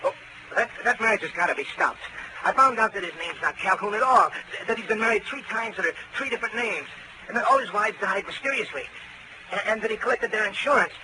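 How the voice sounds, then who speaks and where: An actor playing a hungover, befuddled client who stammers and frets nervously in a 1940s radio drama. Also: male voice, high voice, animated, fast talker. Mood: anxious. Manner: stammering, groaning, nervous delivery. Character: hungover, jittery male client, fretful and befuddled. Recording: noisy vintage 1940s radio recording.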